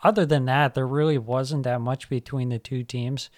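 A man with a mid-pitched voice, recorded dry and close. He chats about football in a relaxed, hedging way, often laughing and leaning on fillers as a podcast co-host. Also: normal speed, no room sound. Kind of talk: casual football podcast chat.

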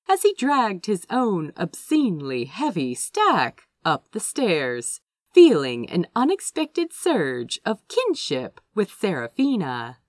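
Slow, highly expressive woman narrator. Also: dry recording. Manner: dramatic, lingering phrasing. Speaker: audiobook reader. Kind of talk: expressive scripted fiction narration.

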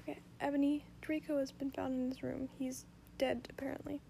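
A woman reading a story aloud in a soft whisper for sleep, indoors at home. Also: high voice, little pitch movement, brisk, creaky, slight background noise. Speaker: reader.

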